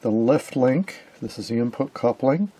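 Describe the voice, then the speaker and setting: A man giving an informal hands-on demonstration as a hobbyist, explaining things in a hesitant way with pauses mid-phrase. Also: medium pitch, animated, dark tone, slightly rough. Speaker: amateur radio hobbyist and presenter.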